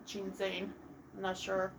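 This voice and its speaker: A woman's voice: medium pitch, completely flat delivery, slow pace, noisy background. A woman giving casual let's play commentary, thinking aloud in a hesitant way.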